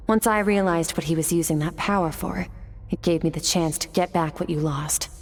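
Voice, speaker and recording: A woman's voice: lowish voice, steady tone, moderate pace. An actress playing an aloof, battle-hardened swordswoman in a video game, composed and condescending in manner. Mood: cool, matter-of-fact. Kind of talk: scripted video game acting.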